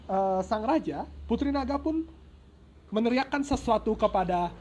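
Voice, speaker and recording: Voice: medium pitch, animated, moderate pace, darkish tone. A storyteller tells a folk tale informally and dramatically, with playful joking asides and self-corrections. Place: at home. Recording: noisy home recording with ambient sound.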